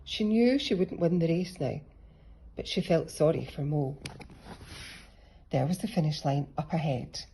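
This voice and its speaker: A woman's voice: low-pitched, moderate pace, long pauses, mellow, slight background noise. A woman narrating a children's picture book as a storybook reader.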